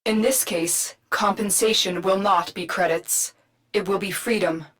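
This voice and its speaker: A woman's voice: mid-range voice, fairly even intonation, fairly bright tone. Composed, authoritative female commander. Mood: calm command. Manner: measured, formal, instructive. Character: calm, authoritative female mission guide and commander. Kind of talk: scripted video game character lines.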